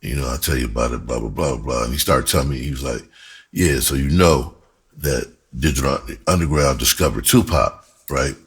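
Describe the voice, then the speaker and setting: A man telling a personal story in a casual, animated way, with slangy, profane talk and phrases repeated for emphasis. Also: a bass voice, moderate pace, slightly rough. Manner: breaks into laughter often while talking. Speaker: screenwriter and performer telling his own story.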